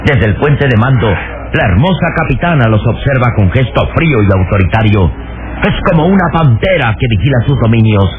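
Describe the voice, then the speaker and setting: A man's voice: medium pitch, background noise. A man giving dramatic scripted narration for a radio adventure serial. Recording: tinny old radio, music behind.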